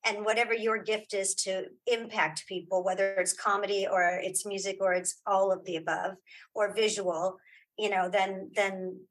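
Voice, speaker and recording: Effusive middle-aged woman with slightly husky voice. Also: mid-range voice, level tone, moderate pace, rough recording. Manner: effusive, emphatic, repeats phrases. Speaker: interview guest, audio drama creator and filmmaker. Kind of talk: casual conversational interview.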